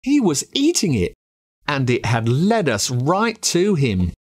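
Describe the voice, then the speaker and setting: A man's voice: fairly high pitch, huge pitch swings, slow pace, breathy edge. An Australian man reads a spooky first-person story aloud, telling it dramatically. His voice sits a little above the usual male range, and his intonation swings widely as the tension builds. It is the storytelling manner of a spoken audiobook. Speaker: audiobook narrator.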